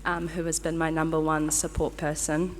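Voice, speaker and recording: A young woman speaks at a ceremony, her lowish voice ringing through a reverberant hall. She sounds flustered and grateful, filling pauses with ums and quick nervous laughs. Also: flat delivery, slight background noise. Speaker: medical graduate giving a speech. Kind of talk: ceremony acceptance speech.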